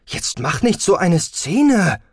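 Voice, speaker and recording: A man's voice: sits high, big pitch swings, takes it slowly, breathy edge, no echo, clean studio sound, pitched above the performer's own voice, exaggerated voice. A man voicing a guarded, irritable mother in an audiobook, curt and exasperated. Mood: irritated, dismissive. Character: stern, guarded, irritable mother of a teenage girl. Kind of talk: dramatic audiobook character acting.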